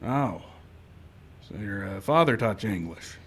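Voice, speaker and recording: An actor playing a steady, firm frontier marshal who also narrates, in old-time radio western style. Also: male voice, lowish voice, unhurried, breathy edge, exaggerated voice. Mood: courteous, measured. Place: live stage show in a park.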